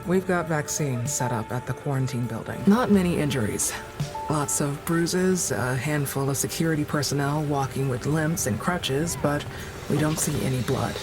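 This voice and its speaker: An actor plays a weary, observant field operative recounting a rescue in an audio drama, in measured, halting phrases. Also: natural voice. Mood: sober, observant. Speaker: narrator and field worker character. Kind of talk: audio drama narration, log-like account. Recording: music bed and engine rumble behind. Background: music, vehicle sounds.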